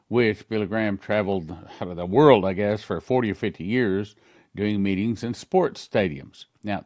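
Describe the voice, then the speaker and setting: Older man preaching, low dry voice. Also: a little mellow, dry recording. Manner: earnest storytelling, reads letters aloud quickly. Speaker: pastor. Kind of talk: sermon recounting dreams.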